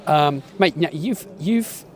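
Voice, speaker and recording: A man's voice: fairly high pitch, expressive, takes it slowly, distant mic. A man from the United Kingdom hosting a casual outdoor interview, chatty and calling his guest mate. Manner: chatty, calls people mate. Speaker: interview host. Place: outdoors at a street event.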